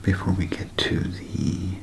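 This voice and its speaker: A man doing a whispered ASMR show-and-tell, speaking in a hushed, unhurried way with gentle pauses. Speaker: ASMR creator.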